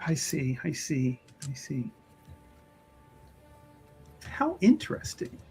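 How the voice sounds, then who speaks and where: A woman's voice: deep voice, very animated, slow pace, dark tone, soft background music, full character voice. A woman playing a probing, flustered and overly polite church official in a tabletop roleplay, stammering and repeating phrases. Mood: feigned curiosity, probing.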